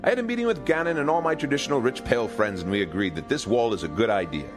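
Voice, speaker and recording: A man's voice: medium pitch, expressive, racing, over music, natural voice. Man playing a pompous, wildly swooping king. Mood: stubborn. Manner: pompous, blustering, formal diction without contractions. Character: pompous, dim-witted, stubborn, defensive medieval king. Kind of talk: comedic audio drama acting.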